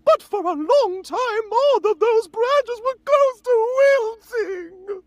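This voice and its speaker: A woman voicing a solemn, grandiose elder fairy guardian in a theatrical, drawn-out table read of a fantasy game script. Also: very high voice, animated, no room sound, heavily acted. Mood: urgent, grave. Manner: theatrical, drawn-out, breaks into flustered asides.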